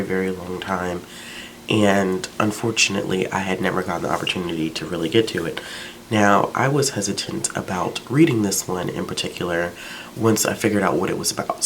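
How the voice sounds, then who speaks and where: Deep-voiced man chatting casually. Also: slight background noise, poor audio. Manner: chatty, relaxed, with fillers like "um" and "like". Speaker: book vlogger. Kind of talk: casual book review vlog.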